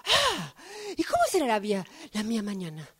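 A woman's voice: mid-range voice, huge pitch swings, normal speed, a bit bright, breathy edge, full character voice. A woman acting a dreamy, wistful train passenger in a radio drama, theatrically echoing her own phrases. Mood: giddy, wondering.

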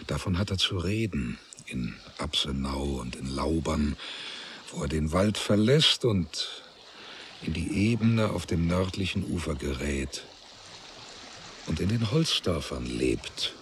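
A man narrating a literary radio drama in a grave, measured way, with deliberate pauses. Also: rumbling low, animated, fairly bright tone, slight background noise, heavily acted. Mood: grave, reflective.

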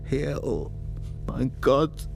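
Distraught, halting police detective. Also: male voice, medium pitch, big pitch swings, creaky, faint music behind, exaggerated voice. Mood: anguished, overwhelmed. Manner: halting, repeating himself, emotionally overwhelmed. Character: distraught, shell-shocked male police detective, father. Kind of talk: German radio crime drama acting.

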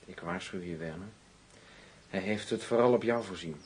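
Ernstige, bezorgde collega met lage stem. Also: male voice, low voice, moderate pace, a little mellow, slightly rough. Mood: urgent warning. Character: concerned, blunt male colleague warning a friend. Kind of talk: radio drama acting.

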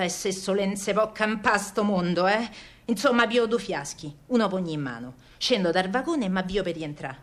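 A woman's voice: mid-range voice, natural voice. An actress playing a feisty working-class woman of wartime Rome, telling her story in an earthy, colloquial Roman accent, as in a radio drama. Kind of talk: dramatic first-person radio monologue in dialect.

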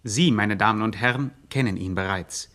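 An actor playing a grandiose narrator, telling a gangster epic in pompous, mock-solemn radio style. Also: male voice, lowish voice, normal speed, music in the background, lightly acted. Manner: pompous, theatrical, ornate.

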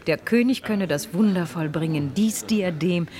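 A woman's voice: fairly low pitch, normal speed, mellow, natural voice. A woman acting in a German radio play as a refined aristocratic lady, elegant and formal. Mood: gracious, amused. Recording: crowded salon behind the voice.

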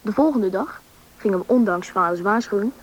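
A woman's voice: medium pitch, brisk, breathy edge, close to an everyday voice. A woman playing a young storyteller who narrates a rescue adventure in a scripted radio play. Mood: narrating. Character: child companion telling the rescue story looking back. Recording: dull old broadcast, faint hiss.

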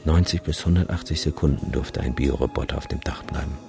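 Very deep, sardonic eyewitness. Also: male voice, brisk, vocal fry, music in the background. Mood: grim, matter-of-fact. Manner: sardonic, matter-of-fact. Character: cynical, bleak photographer who witnessed reactor disaster. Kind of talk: radio drama monologue and dialogue.

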